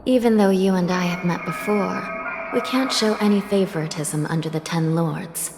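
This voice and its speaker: A woman's voice: lowish voice, moderate pace, natural voice. An actress voicing a solemn young female judge of the underworld in a video game, polite and formal, with wistful, ominous delivery. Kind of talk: scripted video game dialogue. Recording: long hall reverb, music behind.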